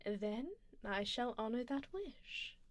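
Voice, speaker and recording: A haughty vampire duchess acted by a woman murmurs in refined, formal phrases for an ASMR roleplay. Her voice is high and swings widely in pitch, slipping into breathy whispers right at the listener's ear. She is coolly menacing yet doting. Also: animated, takes it slowly, slight background noise. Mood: indulgent, composed. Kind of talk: ASMR roleplay, close personal attention. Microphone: close binaural ASMR microphone.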